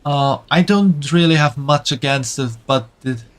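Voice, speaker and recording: A man's voice: fairly high pitch, measured pace. A man with a German accent, chatting casually with viewers while playing a game on stream. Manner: friendly, answers chat while playing. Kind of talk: casual chat while gaming.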